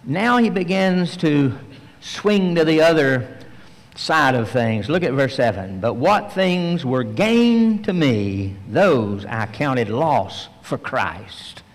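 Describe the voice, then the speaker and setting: Slow, emphatic preacher in a reverberant hall. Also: male voice, medium pitch, very animated. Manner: slow, emphatic teaching with frequent pauses and fillers. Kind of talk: verse-by-verse sermon.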